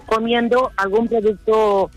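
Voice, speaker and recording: This is a woman phoning in to a radio show. Her voice is high, and she chats casually, poking fun at herself as she goes. Light music plays underneath. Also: flat delivery, unhurried, poor audio. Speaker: radio phone-in caller. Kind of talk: casual anecdotal chat.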